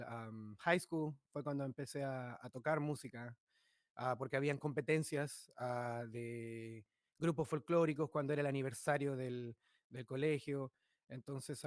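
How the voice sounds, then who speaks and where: A man from northern Chile with a Chilean accent, a musician in his thirties, talking about his life in a relaxed way with many pauses and filler sounds. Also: on the high side, no room sound, studio quality. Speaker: musician, guest. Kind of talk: conversational interview.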